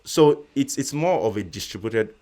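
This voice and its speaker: A man from Nigeria with a Nigerian accent and a very deep voice, talking as an interview guest in a measured way, with restarts and a slight stutter. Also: animated, dark tone. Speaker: guest, real estate investor. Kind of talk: casual interview conversation.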